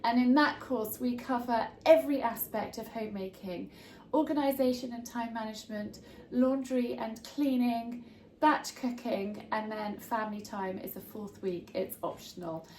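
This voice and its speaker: A woman's voice: fairly high pitch, moderate pace, a little mellow, reverberant, a little hiss or hum. A woman in her thirties, congested and bunged up, chatting casually to camera in her home kitchen as she shows a cleaning tip. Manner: chatty, homely, friendly asides. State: congested, bunged up from hay fever. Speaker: home tips vlogger. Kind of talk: casual how-to chat to camera.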